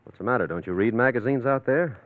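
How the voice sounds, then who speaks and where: A man playing a brisk, confident private detective in a 1940s radio drama, terse and breezy as he probes. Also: mid-range voice, animated, no room sound, lightly acted. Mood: probing. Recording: tinny, narrow-band old radio recording.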